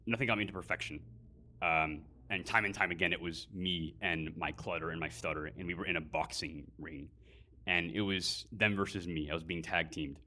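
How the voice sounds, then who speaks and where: A man's voice: low-pitched, steady tone, fairly quick, sharp tone, slight background noise. A man giving a prepared personal speech at a live event, earnest, with fillers between phrases. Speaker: guest speaker.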